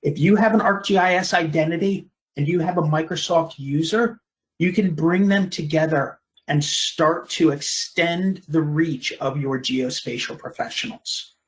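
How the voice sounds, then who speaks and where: Guy doing a software webinar talk, voice a bit on the high side, explains it measured w little pauses. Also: low-quality recording. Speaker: webinar presenter. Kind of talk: software product presentation.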